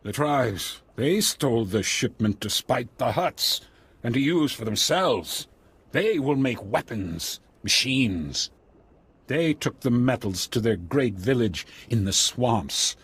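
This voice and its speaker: A halting husky alien chief. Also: male voice, medium pitch, a bit bright, exaggerated voice. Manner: halting, broken phrasing.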